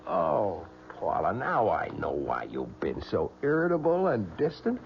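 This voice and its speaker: A man's voice: on the low side, huge pitch swings, measured pace, vocal fry, slight background noise, full character voice. An actor playing an eager, effusive husband and new father in an old radio drama. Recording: dull old radio broadcast recording.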